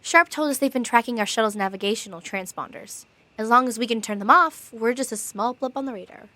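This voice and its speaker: An actress playing a practical, decisive crew captain in an audio drama, speaking in a direct, businesslike way. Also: female voice, a little high, brisk, sharp tone. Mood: businesslike, probing.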